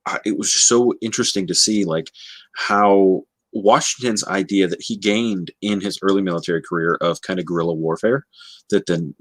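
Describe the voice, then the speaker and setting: A man talking casually in a book discussion, often halting and searching for the right words. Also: low-pitched, a bit bright, no echo.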